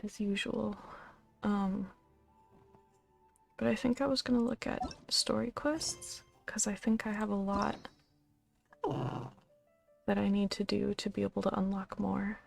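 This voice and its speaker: Soft-spoken woman with a gravelly fry. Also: mid-range voice, level tone, measured pace, pauses often, faint music behind. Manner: soft-spoken, rambling, thinking aloud with many ums; gently scolds and coaxes a pet between remarks. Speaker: streamer and channel host. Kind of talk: casual game-stream chatter.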